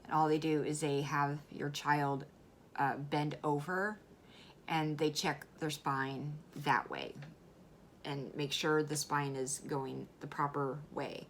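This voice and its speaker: A woman vlogger talking casually and earnestly, her voice slightly hoarse, often repeating words and laughing softly. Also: deep voice, fairly even intonation, slow, slight background noise. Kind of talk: casual educational vlog.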